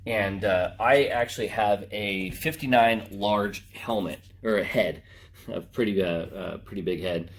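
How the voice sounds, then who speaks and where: Casting: man, lowish voice, informal video presenter, slangy and unscripted. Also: a little slow. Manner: informal, slangy, addressing viewers as guys. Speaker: racing driver presenting a product unboxing. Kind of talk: casual unscripted product walkthrough.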